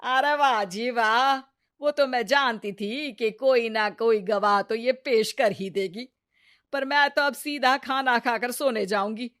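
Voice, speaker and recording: A woman voicing a sharp-tongued, nagging mother-in-law in a Hindi cartoon story, theatrical and taunting. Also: a little high, slow pace. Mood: sarcastic scolding.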